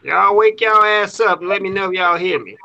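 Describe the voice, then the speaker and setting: A man's voice: high-pitched, a little fast, vocal fry, bright, forceful tone. A man joking around as a guest on an online call, laughing between his lines. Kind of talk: casual chat. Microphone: online call audio.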